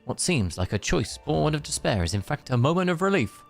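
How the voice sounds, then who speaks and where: A man's voice: fairly high pitch, sing-song, brisk, gravelly, faint music behind. A man streaming a game, reading story text aloud with sarcastic, joking asides.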